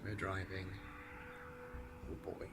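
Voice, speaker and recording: A man's voice: sits low, level tone, slow, vocal fry, over loud music. A man chatting casually and unscripted as a vlogger, offhand, rambling and dryly jokey. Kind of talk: casual unscripted vlog chat.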